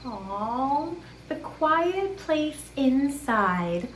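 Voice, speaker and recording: A woman reading a picture book aloud to children as a story-time host, with expressive sing-song delivery and chatty asides to the listeners and a puppet. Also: high-pitched, extremely slow. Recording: echoey room with clear background noise.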